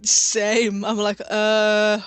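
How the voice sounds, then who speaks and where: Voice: mid-range voice, completely flat delivery, drawn-out words, a bit bright, clear, resonant tone, dry recording, faint music behind. A person with a Finnish accent chatting casually as a guest on a stream, loose and laughing. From Finland. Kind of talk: casual chat.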